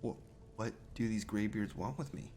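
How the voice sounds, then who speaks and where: Deep male voice, musing, low-key, casual in-character gameplay commentary, clear background music. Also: moderate pace, warm tone. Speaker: video game role-player.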